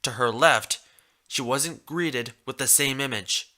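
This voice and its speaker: A man's voice: medium pitch, takes it slowly, sharp tone. A man reading a piece of fan fiction aloud as a scripted narration.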